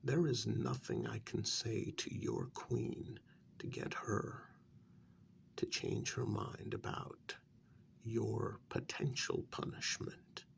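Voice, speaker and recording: A man acts as a calm vampire mediator chiding a prisoner in a soft ASMR role-play. His low, half-whispered male voice is full of gravelly fry and moves very slowly, with measured pauses. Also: full character voice. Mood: measured, firm.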